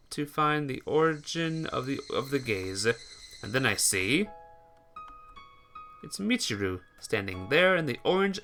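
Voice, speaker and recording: Animated, bright-voiced streamer reading a story game. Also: mid-range voice. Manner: stumbles over words and corrects herself or himself. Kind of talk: live stream visual novel read-aloud playthrough.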